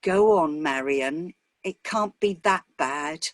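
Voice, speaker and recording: A woman's voice: deep voice, takes it slowly, no room sound, clean studio sound. A woman voicing a bluff, easygoing husband who can turn anxious, in a story reading. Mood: bluff, teasing.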